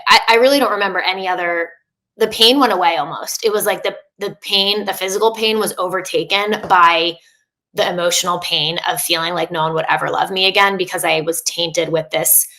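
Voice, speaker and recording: A woman's voice: lowish voice, fairly even intonation, fairly quick, sharp tone, low-quality recording. A woman in her thirties, a coach talking as a podcast guest, speaking openly in a chatty way with many fillers and restarts. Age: 30s. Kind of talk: candid casual interview.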